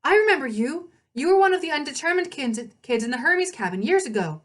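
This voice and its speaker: A woman's voice: high voice, animated, fairly quick, fairly bright tone, distant mic, voice pushed up. A woman voicing a bossy, indignant teenage girl adventurer in a storybook, emphatic and sarcastic.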